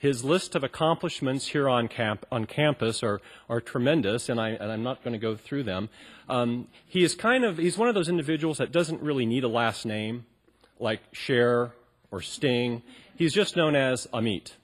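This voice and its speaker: A man's voice: medium pitch, expressive, moderate pace. A man moderating a public panel and introducing a speaker, talking loosely with many ums and restarts. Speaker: event moderator. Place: college auditorium stage.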